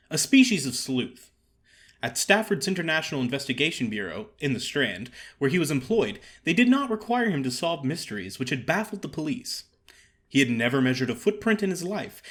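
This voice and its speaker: A man reading a story aloud as theatrical audiobook narration, with a wry, expressive storytelling manner. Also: fairly high pitch. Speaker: story reader.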